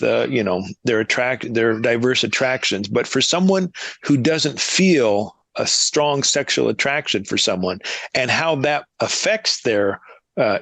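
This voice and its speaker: A man's voice: medium pitch, creaky, fairly bright tone, dry recording. A man in his fifties with a mid-range voice, speaking as a guest in an interview. He talks in a thoughtful, candid way, with a dry, close sound. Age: 50s. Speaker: guest, book co-editor. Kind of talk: conversational interview.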